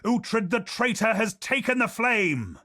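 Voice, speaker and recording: A man voicing a fierce, vengeful warrior woman in a video game, in a raised, strained voice that breaks into shouting. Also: high-pitched, very animated, unhurried, pitched above the performer's own voice, full character voice.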